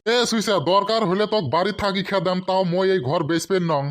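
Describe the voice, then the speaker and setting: A man's voice: high-pitched, steady tone, a little fast, natural voice. A man voicing a stingy, grumbling village husband in a comic cartoon, scolding. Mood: angry scolding. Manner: complaining, scolding.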